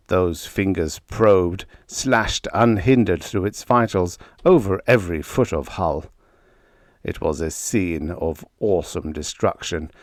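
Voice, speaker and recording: A man's voice: low voice, no echo, clean studio sound. A man narrating an audiobook of pulp adventure fiction in a dramatic, scripted reading style.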